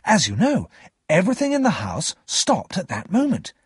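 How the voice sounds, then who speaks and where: Earnest young gentleman, acted by a male narrator, storybook dialogue, raised pitch, husky, animated. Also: normal speed, no echo, studio quality, exaggerated voice. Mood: earnest, grave. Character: friendly, frank young gentleman explaining family history.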